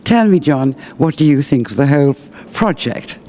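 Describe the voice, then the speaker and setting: A man with a mid-pitched voice acts as interviewer. He speaks in a somewhat formal, prepared way, addressing the person he is talking to directly. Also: animated, moderate pace, mellow, a little hiss or hum.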